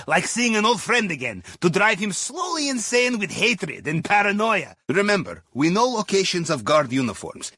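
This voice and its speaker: A man playing a wry Russian submarine captain in a video game, briefing a heist crew with deadpan, folksy remarks and a Russian accent. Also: high-pitched, slightly rough, no echo, studio quality, exaggerated voice. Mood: dry, instructive. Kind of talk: scripted game mission briefing.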